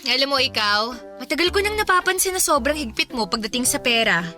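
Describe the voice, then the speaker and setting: Bright, lively young Filipina girlfriend. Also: high voice, fast talker, soft background music, heavily acted. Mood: teasing, defensive. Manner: playful, casual, coaxing. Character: young, breezy, generous girlfriend who loves spending. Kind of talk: Filipino radio drama dialogue.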